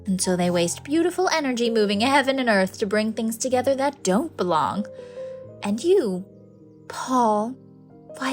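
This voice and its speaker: A woman's voice: fairly high pitch, close to an everyday voice. An actress playing a sweet, whimsical middle-aged lady in an audiobook drama, with effusive, playful, theatrical phrasing. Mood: delighted. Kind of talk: scripted audiobook drama acting.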